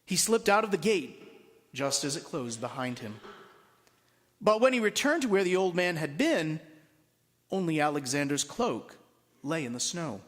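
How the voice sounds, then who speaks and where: A man with a high, swooping voice reads a story aloud as a priest would to his congregation. His delivery is dramatic and deliberate, and the sound rings long through a large church. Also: sing-song. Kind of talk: expressive storybook narration to listeners. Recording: long reverberant hall.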